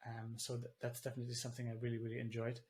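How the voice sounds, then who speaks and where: A man's voice: mid-range voice, monotone, racing, a little mellow. A man born in Germany, a native German speaker, chatting as an interview guest over a video call and rambling with many fillers and restarts. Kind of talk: casual conversation. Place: living in the Netherlands.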